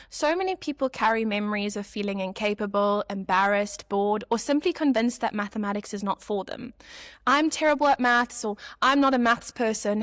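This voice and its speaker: An upbeat woman in her twenties from South Africa co-hosts a podcast, her voice sitting a bit high. She reads her lines with a lively, scripted flow, and the recording is dry and close with no room sound. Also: dry recording. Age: 20s. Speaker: podcast co-host.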